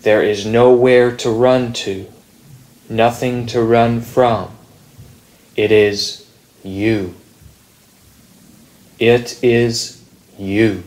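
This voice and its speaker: A man reading a contemplative spiritual text in a measured, deliberate manner with long pauses. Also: medium pitch, steady tone, a little mellow, poor audio. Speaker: reader at a Zen meditation group.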